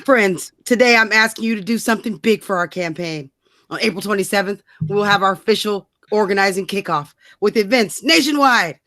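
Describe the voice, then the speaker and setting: A woman with a medium-pitched voice talks in loose, opinionated banter as a co-host. She is blunt and mocking, and curses freely. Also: fairly quick, bright, forceful tone, no echo, clean studio sound. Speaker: co-host of a political rant show.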